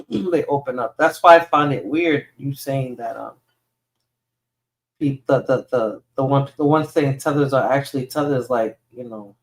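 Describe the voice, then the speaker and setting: A woman's voice: extremely low pitch, measured pace, poor audio. A woman with a New York accent, Spanish as her first language, hosting a casual livestream and rambling through replies to viewer comments. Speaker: livestream host.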